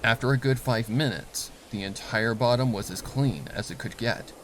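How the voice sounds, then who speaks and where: A man's voice: medium pitch, normal speed, fairly bright tone, slight background noise. A man reading fan fiction as a scripted, dramatic narration with a grave, suspenseful delivery.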